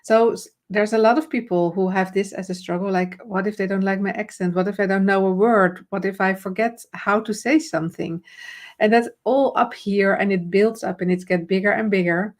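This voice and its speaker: A woman's voice: mid-range voice, fairly even intonation, moderate pace, darkish tone. A woman with a Dutch accent, a confidence trainer and host, giving friendly coaching tips in a live conversation, explaining with many fillers and restarts. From Netherlands.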